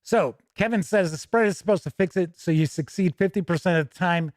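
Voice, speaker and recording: A man hosting a tabletop gaming livestream, chatting casually with viewers in a folksy, jokey, animated way. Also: high voice, fairly quick, no room sound, studio quality.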